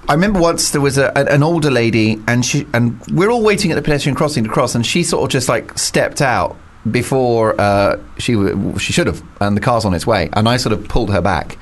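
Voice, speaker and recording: A man hosting a topical panel talk show, speaking in an animated, emphatic way. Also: medium pitch, fairly quick, few pauses. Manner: animated, emphatic, rises on key words. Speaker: host.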